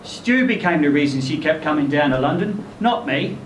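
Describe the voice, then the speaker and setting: A man's voice: on the high side, expressive, normal speed. A man performing a first-person short story aloud at a live event, as its narrator. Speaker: story reader performing in character as ageing rock singer. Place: indoor live literary event venue. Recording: echoey room, distant mic, faint noise.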